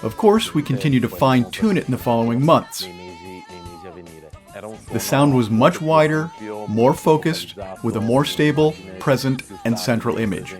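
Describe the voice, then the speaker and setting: A man reading scripted promotional narration as a company representative presenting loudspeakers. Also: mid-range voice, animated, moderate pace. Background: background music with guitar.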